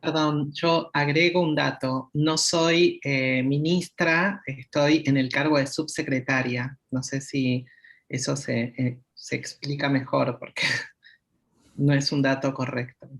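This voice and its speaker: A woman's voice: a bass voice, steady tone, unhurried. Courteous woman undersecretary from Argentina. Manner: courteous and apologetic. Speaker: government undersecretary.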